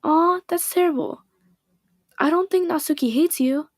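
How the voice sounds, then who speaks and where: A woman voicing a poised, caring teenage girl who leads a club, speaking gently and reassuringly, with a slightly hoarse voice. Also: very high voice, a little slow, no room sound, studio quality.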